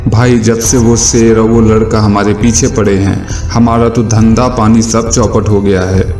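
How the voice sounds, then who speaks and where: A man playing scheming village thieves in an animated children's moral story. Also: lowish voice, a little slow, reverberant, soft background music, natural voice. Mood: worried.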